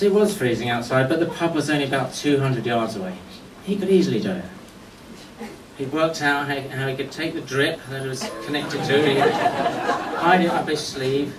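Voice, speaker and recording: A middle-aged man giving a eulogy as a speech of humorous anecdotes, told in a wry, unhurried way. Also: on the high side. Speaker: speaker giving a memorial tribute. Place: indoor function venue at a golf club, before an audience. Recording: echoey room, distant mic, faint noise.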